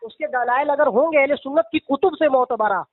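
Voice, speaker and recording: A man's voice: extremely high pitch, brisk. A man arguing in a religious debate on a phone call, firm, repetitive and objecting. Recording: tinny, band-limited phone call recording.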